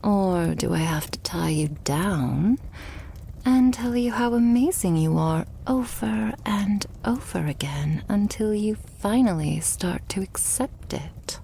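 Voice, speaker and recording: A woman playing a loving, possessive vampire girlfriend in an intimate ASMR roleplay, murmuring softly and breathily. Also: mid-range voice, expressive, slight background noise. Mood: playful, teasing. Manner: soft, breathy, slow murmuring that often drops to whispers.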